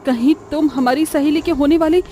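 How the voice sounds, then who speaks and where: A woman's voice: sits high, normal speed, dark tone, a little hiss or hum, lightly acted. A woman voicing a bold, earnest young woman in a horror cartoon, speaking dramatically. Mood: urgent, earnest. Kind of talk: Hindi horror cartoon voice acting.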